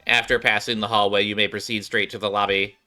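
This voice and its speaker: A man's voice: fairly low pitch, level tone, fast, pushed and bright, soft background music. A man streaming a game, reading its story text aloud and commenting casually. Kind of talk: casual gameplay commentary and read-aloud narration.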